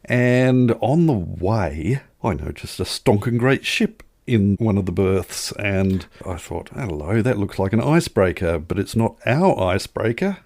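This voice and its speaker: A man's voice: on the low side, lively intonation, unhurried, hardly any pauses, a little mellow, dry recording, clean studio sound. A man chatting casually as a podcast co-host, telling anecdotes in wry, wordy turns of phrase.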